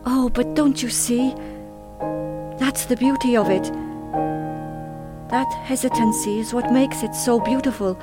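Actress as a gentle lady in a radio play, really unhurried, piano playing clearly behind her. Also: fairly high pitch, fairly even intonation, lightly acted. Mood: tender, moved. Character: gentle, appreciative woman moved by music.

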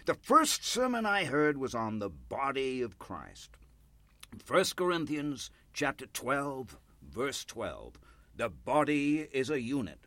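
A rambling older churchgoer in a radio drama. His pitch leaps and drops dramatically as he flatters and apologises in a hesitant, folksy way. The sound is close and dry. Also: slow, no room sound, exaggerated voice. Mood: reminiscing. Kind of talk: radio play acting.

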